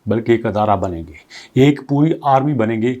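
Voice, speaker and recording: Guy running a crypto news channel, dark mellow voice, talks it through casual, lots of rhetorical questions. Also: medium pitch, lively intonation, normal speed, highs cut off. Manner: reads English passages aloud, then explains them conversationally; poses strings of rhetorical questions. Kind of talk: explanatory commentary.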